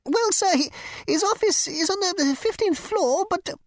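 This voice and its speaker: A prissy, petulant insect receptionist, squeaking out icy replies in a voice pitched way up high. It talks with a haughty, flouncing edge and swoops all over the place, recorded close and dry. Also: female voice, lively intonation, moderate pace, no room sound. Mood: flustered.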